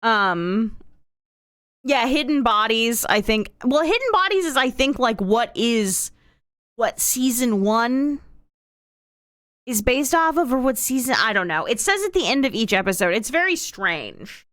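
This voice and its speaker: A woman livestreamer chats off the cuff with a wry delivery and a very lively, swooping pitch. She stretches and repeats words for exaggerated emphasis. The sound is dry, with very little room in it. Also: a little slow, no echo. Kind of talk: casual rambling chat to stream viewers.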